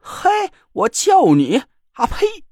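Man playing a teasing middle-aged joker, bantering drawl, raised lively pitch, dry close sound. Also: slow pace, no echo, exaggerated voice. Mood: indignant. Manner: bantering, mock-boastful, drawling.

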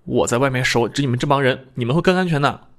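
A man voicing a mild male apprentice in an audiobook, speaking in a low-key way. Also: fast, natural voice. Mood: miffed. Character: young male apprentice, mild, secretly a ghost hunter.

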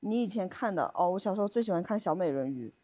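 A woman's voice: on the low side, lively intonation, fast talker, mellow. Woman chatting in casual storytelling style. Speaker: podcast co-host.

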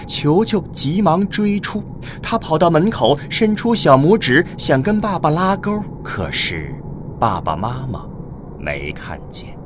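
Slow, very expressive male storyteller. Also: mid-range voice. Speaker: narrator. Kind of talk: scripted storytelling narration for a radio drama. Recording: clearly noisy with ambient vehicle sound.

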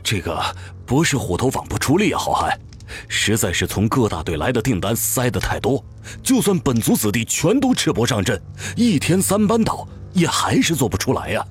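A beleaguered tiger-demon father and smith, acted by a man in a fantasy audio drama. He pleads and placates deferentially in a middle-pitched voice, with music playing behind. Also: very animated, normal speed, husky. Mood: placating.

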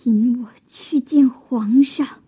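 A woman acting a young, wronged imperial consort in an audio drama, bitter, proud and defiant, with breathy, drawn-out tragic delivery. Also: a little high, highs cut off, heavily acted. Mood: grief-stricken, resolute.

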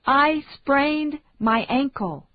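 A woman reading English phrases slowly and deliberately for learners to repeat. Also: sits high, expressive, no echo. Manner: slow, deliberate, carefully enunciated. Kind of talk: slow repeat-after-me drill. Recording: dry, tinny phone-like sound.

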